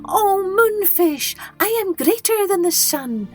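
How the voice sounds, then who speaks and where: Woman voicing a high, exultant little male goldfish. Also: very animated, slow pace, soft background music, full character voice. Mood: jubilant, triumphant. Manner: exclamatory, grandly declaiming. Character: joyful little male goldfish, boastful and adoring.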